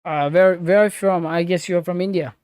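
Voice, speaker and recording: Halting man, mellow dry voice. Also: high-pitched, fairly even intonation, clear, resonant tone, no echo. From Switzerland. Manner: halting, many fillers and restarts, loose grammar. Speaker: crypto trading livestreamer. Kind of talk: live market commentary, answering chat.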